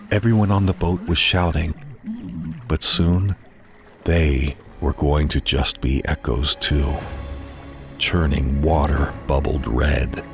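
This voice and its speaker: Very deep-voiced male horror narrator. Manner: somber, suspenseful, dramatic delivery. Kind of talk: dramatized first-person scripted horror narration.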